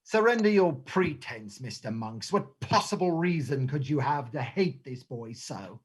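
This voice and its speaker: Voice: mid-range voice, animated, unhurried, husky. An actor playing a sly, menacingly courteous male crime boss in a stage play reading, theatrical and mock-polite. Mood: sly, probing.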